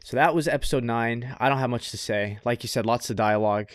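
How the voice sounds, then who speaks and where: A man's voice: medium pitch, fast, no room sound. Man co-hosting casually, dry close sound. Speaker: podcast co-host. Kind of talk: casual anime review chat.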